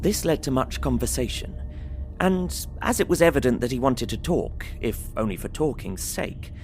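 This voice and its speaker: An actor playing a polite, earnest young man, narrating his diary in measured, formal phrasing for an audio drama. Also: mid-range voice, expressive, a little mellow, natural voice. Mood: measured, recounting. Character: Jonathan Harker, polite young Englishman, earnest diarist. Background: music.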